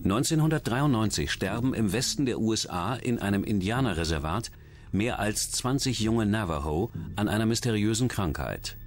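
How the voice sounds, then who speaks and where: A man's voice: fairly low pitch, expressive, moderate pace, slight background noise. A man reading scripted true-crime documentary narration as the narrator. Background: background music.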